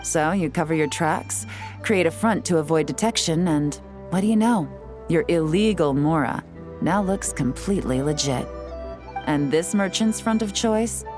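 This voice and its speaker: An actress playing a cool, composed woman investigator in a video game, articulate, wry and sly in a businesslike way. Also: fairly low pitch. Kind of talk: scripted video game dialogue.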